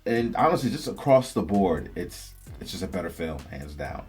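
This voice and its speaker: Low-voiced man in casual fan commentary. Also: big pitch swings, normal speed, darkish tone, slightly rough. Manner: enthusiastic, slangy fan talk. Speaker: host of a video game and movie news channel. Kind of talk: casual opinion commentary to viewers. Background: background music.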